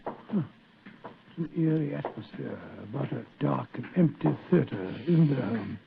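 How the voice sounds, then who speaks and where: An actor playing a loyal, excitable, blustering gentleman sidekick in an old radio drama style. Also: mid-range voice, a little slow, creaky. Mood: nervous. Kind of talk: 1940s radio drama acting. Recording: tinny, noisy old radio recording.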